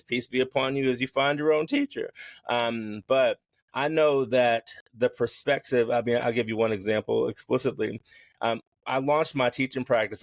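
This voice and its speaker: A man speaking as a podcast guest and yoga teacher, frank and lively, laughing often as he talks. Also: medium pitch, no room sound. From United States. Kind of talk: candid conversational interview.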